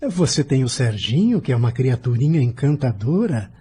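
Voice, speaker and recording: Man acting a calm devoted husband, forties, Brazilian accent, warm dark timbre, husky, mid pitch, reassuring, formal, audio drama. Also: moderate pace, a little hiss or hum, close to an everyday voice. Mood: calm, realistic. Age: 40s. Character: kind, calm forty-year-old husband, devout spiritist.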